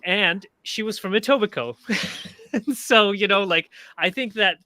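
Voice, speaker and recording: A man from Canada hosting a discussion group, telling casual personal anecdotes in halting, hesitant phrases. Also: sits high, bright, forceful tone, dry recording.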